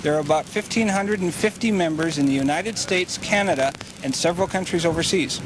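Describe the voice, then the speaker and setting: A man's voice: a little high, a little fast. A man speaking matter-of-factly as a club historian being interviewed on location outdoors. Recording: noisy outdoor on-location sound.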